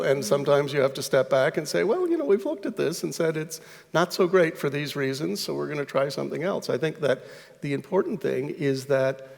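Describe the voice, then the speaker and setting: Guy on a panel explaining stuff, gravelly creaky voice, a bit high, hall echo. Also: darkish tone. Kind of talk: reflective panel discussion. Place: large hall, public panel. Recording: echoey hall, amplified panel.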